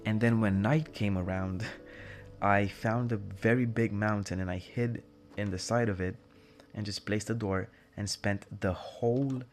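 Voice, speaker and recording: A man's voice: on the low side, steady tone, a little slow, faint music behind. A young man speaking non-native English with British leanings, rambling through a casual solo monologue full of asides and self-corrections. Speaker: podcast host.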